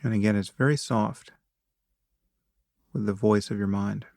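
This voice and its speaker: A man guides a meditation in a low, creaky voice. He gives calm instructions very slowly, leaving long pauses between measured phrases. The sound is close and dry. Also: dry recording.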